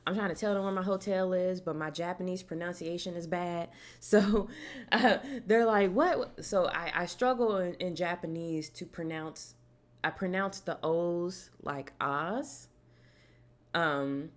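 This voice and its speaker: A young woman tells a personal story straight to her viewers in relaxed, slangy talk. Her voice sits a little low for a woman, and she turns lively whenever she acts out the voices of people in the story. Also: measured pace. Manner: animated, acts out quoted voices, casual slang. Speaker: horror vlogger. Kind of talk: casual storytelling to camera.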